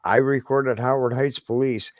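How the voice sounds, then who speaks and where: A man in a casual online group chat, cracking quick jokes and offering encouragement. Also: mid-range voice, fairly even intonation, dry recording, studio quality. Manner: wisecracking, encouraging.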